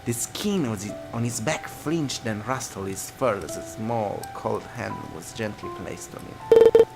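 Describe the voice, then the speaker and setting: A man's voice: fairly low pitch, wildly swooping pitch, unhurried, creaky, low-quality recording. A man reading a visual novel aloud as a let's player, narrating dramatically and dropping into casual asides. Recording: guitar music behind.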